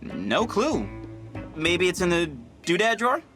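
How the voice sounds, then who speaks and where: A man's voice: high voice, wildly swooping pitch, unhurried, fairly bright tone, soft background music, full character voice. A man playing a laid-back, cheerful young prince in a video game, casual and playful.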